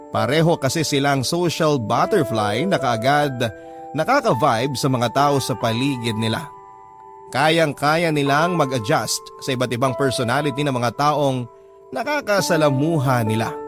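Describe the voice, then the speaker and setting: A man with a Filipino accent reading a scripted first-person story as a radio drama narrator. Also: fairly high pitch. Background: music bed.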